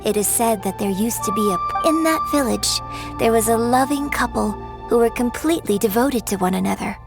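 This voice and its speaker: A poised young opera singer. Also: female voice, a little high, animated, creaky, over music. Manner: poised, storytelling, measured. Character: graceful young female opera singer and storyteller. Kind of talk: video game voice acting.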